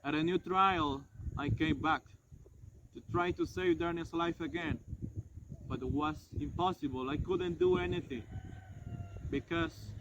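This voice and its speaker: A man playing a regretful French doctor and former prisoner in an amateur dramatized monologue, speaking haltingly and correcting himself. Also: fairly high pitch, steady tone, lightly acted. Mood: helpless, regretful. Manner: halting, hesitant, self-correcting delivery. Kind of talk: amateur dramatized monologue retelling past events. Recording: dull lo-fi sound, faint noise.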